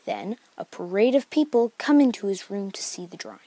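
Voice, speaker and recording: A woman's voice: mid-range voice, animated, brisk, dark tone, dry recording. A woman reading scripted narration for a homemade book report. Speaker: narrator of a novel study video.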